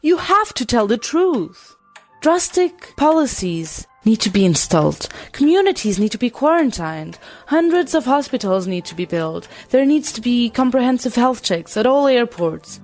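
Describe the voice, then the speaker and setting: Woman acting an earnest housemaid, high voice. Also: big pitch swings, moderate pace, darkish tone, faint music behind. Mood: pleading, insistent. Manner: earnest, formal. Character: loyal, earnest housemaid, dutiful and outspoken. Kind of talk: audio drama acting.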